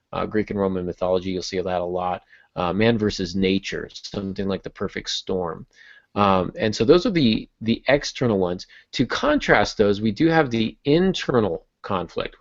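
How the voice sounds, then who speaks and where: A man hosting a podcast, explaining things conversationally in a halting way, as if thinking aloud. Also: lowish voice, dry recording. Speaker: podcast host. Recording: dull lo-fi podcast audio.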